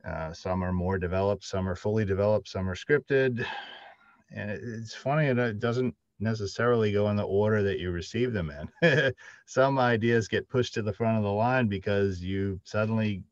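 A middle-aged man with a low voice talks casually and haltingly, musing as he goes. The sound is dry and a little dull, like a lo-fi video call. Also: no echo. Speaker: panelist, audio drama writer. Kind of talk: casual reflective panel talk. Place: small room, indoors, on a video call.

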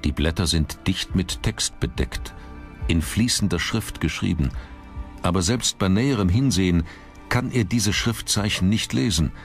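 A man reading scripted documentary narration in a measured, dramatic manner. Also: sits low. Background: background music.

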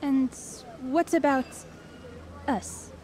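An actress playing a caring, gently persistent young woman in an audio drama, with a gentle, questioning manner. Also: high-pitched, steady tone, extremely slow. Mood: tender.

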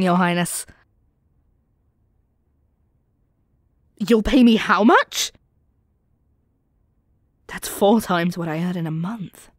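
A woman playing a cocky young commoner thief in an expressive, sardonic audio roleplay, speaking straight to the listener. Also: fairly low pitch, clear, resonant tone, no room sound, clean studio sound, close to an everyday voice. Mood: wary. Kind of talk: audio roleplay acting, one-sided dialogue addressed to the listener.

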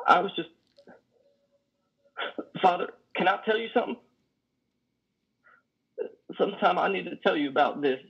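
A man's voice: medium pitch, husky. A man in his thirties playing a lonely, guilt-ridden trucker, faltering and folksy, over a muffled video call. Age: 30s. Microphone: headset on video call.

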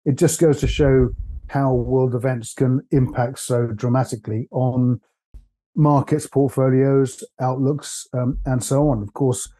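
A man, husky from laryngitis, co-hosting a casual investment podcast in a measured, thoughtful way. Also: mid-range voice, fairly even intonation, warm tone. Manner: measured, thoughtful, with frequent "uh" and restarts. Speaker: podcast co-host, stock analyst.

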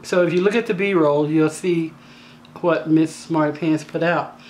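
A woman with a slightly raspy voice hosting a home cooking tutorial, talking in a chatty, down-to-earth way with joking asides. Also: low voice, fairly even intonation, a little slow, a little mellow, rough recording. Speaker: cooking channel host. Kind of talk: casual cooking tutorial voice-over. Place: home kitchen.

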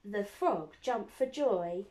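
A woman teacher reading a children's picture book aloud in an animated, dramatic storytelling manner. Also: medium pitch, takes it slowly. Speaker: primary school teacher. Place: indoors, living room by a window.

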